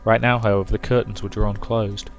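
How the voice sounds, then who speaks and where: A man's voice: lowish voice, flat delivery, brisk, faint music behind. A man reading a visual novel aloud as dramatic narration for a let's play. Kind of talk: dramatic storybook-style narration with commentary.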